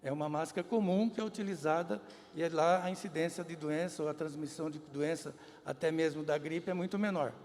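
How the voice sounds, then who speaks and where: A man, a health official, explaining in measured answers at a press conference. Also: fairly high pitch, a little mellow. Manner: explanatory, measured, occasional restarts. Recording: reverberant hall.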